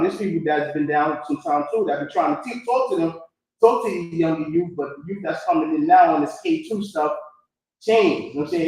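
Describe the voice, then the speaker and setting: A man's voice: a little high, a little mellow. Echoey, distant New York man talking informally. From New York, United States. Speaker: panel speaker, former prisoner. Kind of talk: candid unscripted panel talk. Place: room at a live community event. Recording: echoey room, distant mic.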